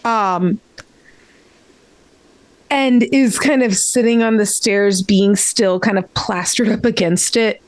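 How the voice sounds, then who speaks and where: A woman's voice: medium pitch, slow pace, long pauses, no room sound, poor audio. A woman playing a tabletop game, describing her character's actions in a hesitant, improvised third-person narration.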